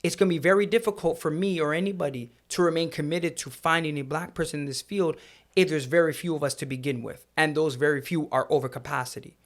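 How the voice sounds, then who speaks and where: A man with a fairly high voice for a man co-hosts a podcast conversation. He explains his ideas earnestly and lays them out step by step. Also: fairly even intonation, fast talker. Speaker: podcast co-host.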